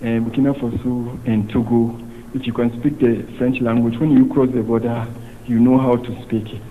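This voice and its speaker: A man giving his opinion in a street vox pop, speaking in plain, slow statements. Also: mid-range voice, level tone, narrow, tinny audio, slight background noise. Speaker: member of the public in vox pop.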